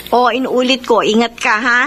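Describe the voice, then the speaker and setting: A woman's voice: fairly high pitch, moderate pace, close to an everyday voice. An actress with a Filipino accent playing a doting, nagging wife in a radio drama, insistent with her reminders. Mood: fussing, concerned. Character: doting, nagging wife who fusses over her husband. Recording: faint noise and ambience behind.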